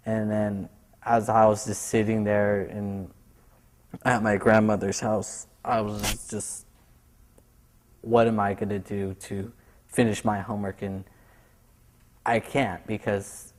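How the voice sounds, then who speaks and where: A young man, a student, telling a personal story in a subdued, measured way. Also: on the low side, flat delivery, darkish tone, long reverb tail. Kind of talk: personal testimony.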